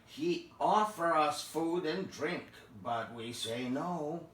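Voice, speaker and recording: A woman voicing a sad young male mouse who talks in broken English, in a low, dragging voice. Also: slow pace, echoey room, distant mic, a little hiss or hum, poor audio. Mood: earnest.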